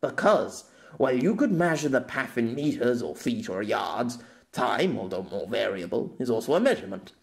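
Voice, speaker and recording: Narrator acting sceptical man, medium pitch, dry, pedantic, patient, world-weary, audio storybook. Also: big pitch swings, normal speed, vocal fry. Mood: patient, explaining.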